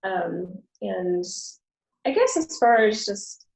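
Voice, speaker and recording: A woman speaks at an ordinary pitch in a soft, hesitant way. She fills her answers with pauses as she talks through an interview. The sound is roomy and echoing. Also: lively intonation, gravelly. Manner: soft-spoken, hesitant with many fillers. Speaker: pageant contestant.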